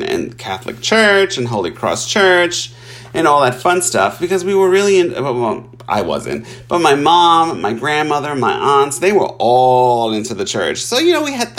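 A man in his forties from Puerto Rico, telling his life story in a casual, chatty way and laughing often. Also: a little high. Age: 40s. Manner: animated and chatty, laughs often, addresses listeners as y'all.

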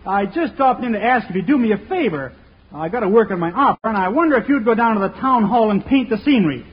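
A bashful, naive young man in a 1940s radio comedy, stammering and hesitant. His high voice swings about in pitch, with a goofy, bumbling air. The old recording is dull. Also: expressive, fast talker, husky. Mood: casual.

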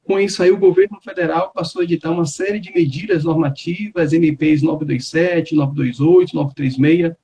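A man, a labor judge, speaking in a measured, formal and deliberate way in a live online chat. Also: fairly high pitch, fairly even intonation, warm tone, husky.

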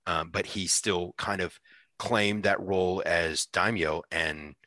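A man co-hosting a podcast, talking casually about a series as a fan, often pausing and restarting his thoughts. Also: sits low, fairly even intonation, bright, forceful tone, no room sound, studio quality. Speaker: podcast co-host.

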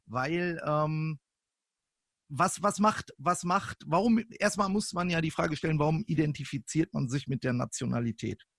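A young man co-hosting a casual podcast, rambling and animated, with many restarts and fillers. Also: on the high side, no echo. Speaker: podcast co-host. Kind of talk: casual chat. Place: living in France for a year.

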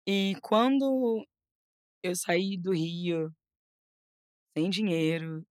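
A young woman from Rio with a Carioca accent, chatting as a podcast guest, animated, often restarting and repeating words. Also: on the low side, drawn-out words, clear, resonant tone, no room sound, studio quality. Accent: Carioca Portuguese. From Rio de Janeiro, Brazil. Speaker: model and influencer, podcast guest. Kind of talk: casual interview chat.

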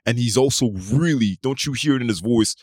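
A man's voice: medium pitch, a little fast, husky, no room sound, clean studio sound. A man hosting a podcast, arguing his point at length in casual debate, restarting and repeating himself as he goes. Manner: long-winded, argumentative, restarts and repeats phrases. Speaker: podcast host.

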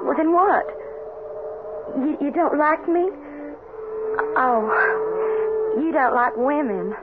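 A woman's voice: high voice, expressive, slow pace. An actress playing a spirited, proper married woman of the Old West, emotive and earnest, in an old-time radio drama. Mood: earnest, embarrassed. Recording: noisy, narrow old radio broadcast.

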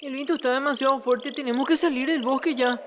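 Timid, excitable Paraguayan boy played by a woman. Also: sits high, fast, loud music behind the voice, close to an everyday voice. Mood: urgent. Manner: hesitant, stammering starts, expressive. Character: timid, excitable ten-year-old boy, kind to animals. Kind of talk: audio drama acting.

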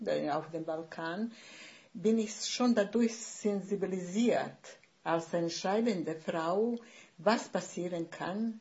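A woman in her sixties from Bosnia, a writer speaking German with a Bosnian accent as a podcast guest, pausing often and searching for words. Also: fairly low pitch, darkish tone, lo-fi sound. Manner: hesitant, searching for words, many fillers. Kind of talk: podcast conversation.

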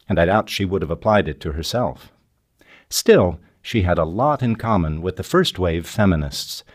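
Low, animated older male narrator. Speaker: podcast host and narrator. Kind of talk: scripted documentary narration. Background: music.

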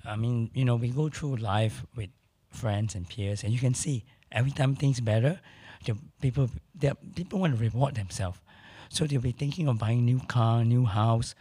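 A middle-aged man with a hoarse voice, speaking candidly as a podcast guest in casual conversation. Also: medium pitch, normal speed, warm tone, no echo. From Malaysia. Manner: candid, matter-of-fact, occasional restarts.

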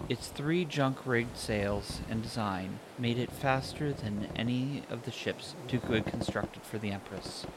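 A man narrating a scripted fantasy audio story, with ambient sound design behind him. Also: lowish voice. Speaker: narrator and author. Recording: clear background noise, ambient sound design. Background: ambient sound effects.

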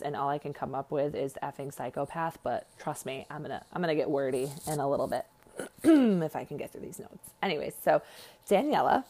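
A woman with a low voice and a slight lisp talks as a podcast host. She rambles casually, putting herself down with dry sarcasm and speaking bluntly. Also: darkish tone. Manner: rambling, self-deprecating, sarcastic, blunt with swearing. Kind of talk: casual true crime podcast monologue.

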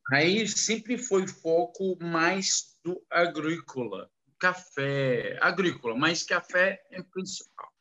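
A man speaking slow, halting, broken Portuguese as a non-native speaker, answering interview questions with many restarts. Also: on the high side, pushed and bright, dull audio. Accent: foreign, non-native Portuguese. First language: not Portuguese. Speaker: interviewee, businessman.

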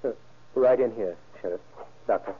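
An actor playing a shaken, wounded husband in an old-time radio crime drama, speaking haltingly and earnestly. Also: male voice, lowish voice, breathy edge. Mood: subdued. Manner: halting, earnest witness account. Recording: muffled, band-limited vintage radio recording.

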